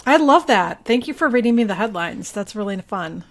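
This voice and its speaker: A woman's voice: mid-range voice, expressive, moderate pace. Female podcast guest, mid-range voice, loose informal conversational delivery. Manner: informal, filler-heavy talk peppered with "like". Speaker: podcast guest, local journalist. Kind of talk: casual podcast chat.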